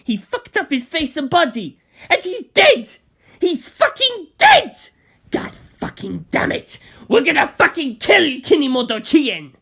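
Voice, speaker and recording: Woman playing an excitable, loud gangster man. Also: high voice, a little slow, voice pushed up, exaggerated voice. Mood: furious, distraught. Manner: loud, emphatic, swearing outbursts. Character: excitable, foul-mouthed gangster man reporting by phone.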